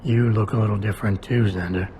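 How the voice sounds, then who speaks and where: An actor playing a terse, sardonic, augmented ex-cop with deadpan delivery in a video game cutscene. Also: male voice, on the low side, quick pace, a little hiss or hum, lightly acted. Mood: dry, guarded.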